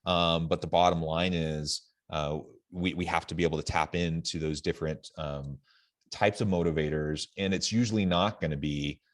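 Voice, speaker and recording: A man with a deep voice hosts a podcast interview. He speaks conversationally and wordily, working through his thoughts aloud as he builds up to a question. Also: steady tone, normal speed. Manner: wordy, thinks aloud while framing questions.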